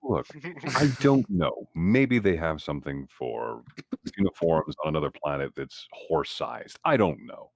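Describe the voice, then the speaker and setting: A man's voice: extremely low pitch, sing-song, unhurried, vocal fry. A man playing a tabletop game, talking casually and wryly with the other players.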